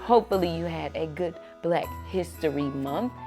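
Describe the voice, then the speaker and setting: A woman's voice: sits low, moderate pace, dark tone. A woman reading a children's book aloud as host, using simple phrasing and speaking to a young child between passages. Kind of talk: read-aloud storytelling with simple asides to a child. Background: background music.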